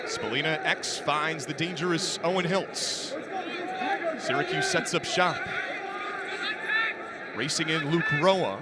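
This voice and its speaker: A male play-by-play announcer with a high-pitched voice calls a live game in punchy, excitable phrases. Loud venue noise surrounds him throughout. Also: slow pace, pauses often, a loud crowd. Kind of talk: live sports play-by-play commentary. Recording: loud arena noise behind commentary.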